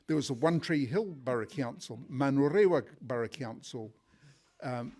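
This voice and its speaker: Older New Zealand man, rambling, mid-pitched. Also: lively intonation, moderate pace, darkish tone. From South Auckland, New Zealand. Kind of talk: casual reminiscing at a public forum.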